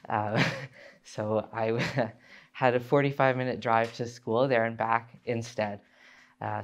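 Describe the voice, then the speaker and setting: Slow, smooth-voiced young man. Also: mid-range voice. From Ontario, Canada. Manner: halting, many fillers and restarts. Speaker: speaker sharing his story. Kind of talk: personal story talk.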